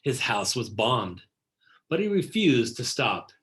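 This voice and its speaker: A man narrating a children's picture book in a deliberate storytelling delivery, stressing key words. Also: mid-range voice, sing-song, fairly bright tone. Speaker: read-aloud narrator. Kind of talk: scripted picture-book read-aloud for children.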